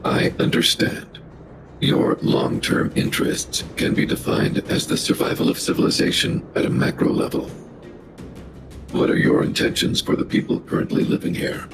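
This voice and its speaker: A man's voice: normal speed, over loud music, lightly acted. A man playing a huge, logical robot guardian in a video game, formal and clinical, with a synthetic voice. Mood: detached, solemn. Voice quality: synthetic, gravelly.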